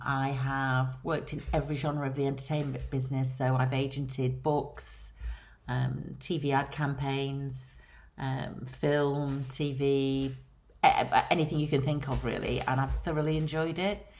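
A middle-aged woman with a Lancashire accent, chatting casually as a podcast guest and filling pauses with ums. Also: very deep voice, a little hiss or hum. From England. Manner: chatty, rambling, many ums. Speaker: guest, celebrity agent.

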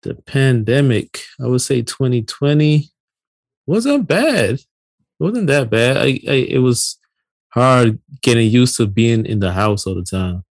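Male podcast co-host, late thirties, from Barbados. Casual delivery, mid pitch, dry recording. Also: animated, a little slow, no echo. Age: late 30s.

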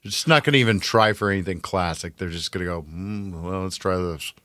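A man's voice: sits low, fairly quick, fairly bright tone, no echo, studio quality. A man co-hosting a casual pop culture chat in a jokey, sardonic manner. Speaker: podcast co-host.

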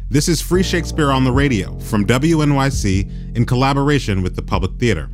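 A man's voice: medium pitch, animated, fairly quick, faint music behind. A man hosting a radio program, moving between narration and conversation. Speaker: radio host.

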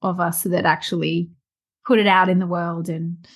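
A woman's voice: on the low side, flat delivery, normal speed. A woman, hosting a casual interview podcast in an enthusiastic, chatty way. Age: 40s. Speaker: podcast host.

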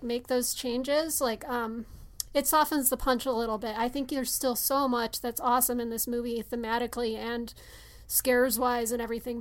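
A woman co-hosting a casual movie discussion, speaking informally and a little haltingly. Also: fairly high pitch. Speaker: podcast co-host.

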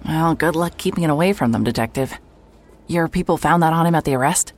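An actress playing a hard-hearted private investigator in a noir audio drama, dry, sardonic and unimpressed. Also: female voice, sits low, fairly quick. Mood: amused, sardonic.

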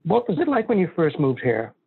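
A male interviewer with a slightly high-pitched voice talks conversationally as a podcast host. The sound is narrow and telephone-like, with very little room echo. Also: huge pitch swings, a little fast, vocal fry, husky. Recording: thin, phone-like line.

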